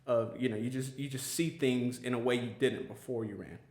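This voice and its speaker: A man with a middle-pitched voice hosts a relaxed podcast conversation. He rambles earnestly, circling back with fillers and restarts. The room around him is noticeably echoey. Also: a little fast. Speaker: podcast host. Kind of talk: casual chat.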